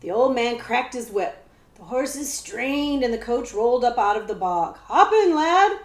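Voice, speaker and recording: A woman librarian reading a children's story aloud, pausing for casual explanations. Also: fairly high pitch, a little mellow. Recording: echoey room sound.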